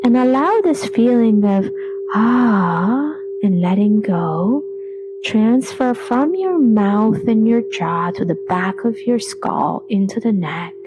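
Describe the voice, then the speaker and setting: A woman leading a guided relaxation meditation in a soothing, unhurried way, with phrases trailing off breathily. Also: medium pitch, noisy background. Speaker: yoga nidra meditation guide. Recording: voice over background music.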